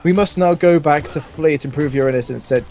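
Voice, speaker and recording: A man's voice: a little high, fairly quick, darkish tone, a little hiss or hum. A man reading fan fiction aloud in a casual, comic way, amused and often breaking into laughter.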